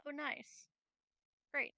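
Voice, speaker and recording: A woman's voice: very slow, bright, forceful tone, dry recording. A woman chatting casually as a player in a tabletop roleplaying game.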